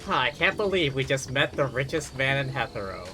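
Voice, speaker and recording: A man's voice: medium pitch, sing-song, a little fast. Man streaming a game over music. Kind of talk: casual play-by-play chat while gaming.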